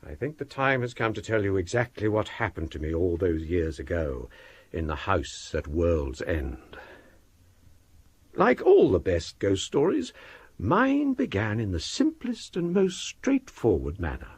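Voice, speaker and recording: A man playing an older Edwardian college provost in a radio drama, with an English accent and formal, courteous, measured speech. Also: deep voice, wildly swooping pitch, breathy edge, no echo, exaggerated voice. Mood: storytelling. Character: older scholarly Edwardian college provost telling ghost stories.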